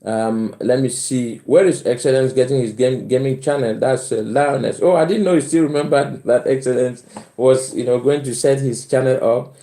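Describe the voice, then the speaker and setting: Mellow Cameroonian man in a roomy space. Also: mid-range voice, moderate pace. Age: middle-aged. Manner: chuckles often, repeats phrases for emphasis. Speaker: live stream host. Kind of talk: casual live chat, reading out and answering comments. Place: bedroom at home. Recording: roomy indoor echo.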